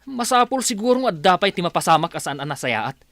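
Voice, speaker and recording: A high, animated male voice from an actor plays a disapproving elder brother who scolds bluntly in a radio drama. The accent is Ilocano, the sound very dry. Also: a little fast, no room sound, heavily acted. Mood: scolding, exasperated.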